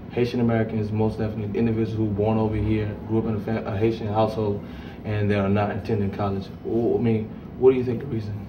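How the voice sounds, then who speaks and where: A man's voice: fairly low pitch, mellow, distant mic, slight background noise. A young man hosting a campus TV interview, asking long, rambling questions full of "I mean" fillers. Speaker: host. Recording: echoey room, voice off-mic, music behind.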